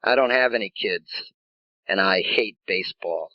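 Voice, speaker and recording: A man's voice: low voice, unhurried, dry recording. A man playing a cold, curt, menacing henchman in an old-time radio gangster drama. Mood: cold menace. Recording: dry, slightly muffled audio.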